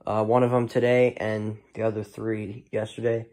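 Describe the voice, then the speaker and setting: A man's voice: fairly low pitch, level tone, a little mellow. A man giving a casual, unscripted product showcase as a hobbyist, talking in a hesitant, rambling way. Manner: hesitant, halting, rambling delivery. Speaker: hobbyist vlogger showing a weather radio collection.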